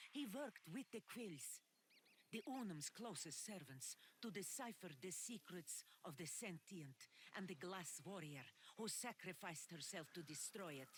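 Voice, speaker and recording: Earnest young village gal, slow and insistent, game audio with ambient noise. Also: female voice, fairly low pitch, fairly bright tone. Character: earnest young village woman seeking her uncle.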